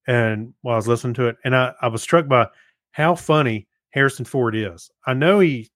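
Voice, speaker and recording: A man's voice: mid-range voice, brisk, a bit bright, dry recording, studio quality. A man co-hosting a podcast in casual chat, conversational and given to joking. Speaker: podcast co-host.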